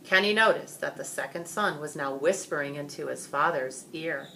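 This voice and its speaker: A woman reading a story aloud as expressive scripted narration. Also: low voice, moderate pace, vocal fry, slight background noise, rough recording. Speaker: storybook reader.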